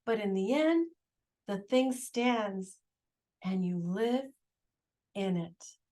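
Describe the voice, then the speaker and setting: A woman's voice: mid-range voice, lively intonation, takes it slowly, a little mellow. A woman voicing an earnest, musing male carpenter in a storybook, who is easily exasperated.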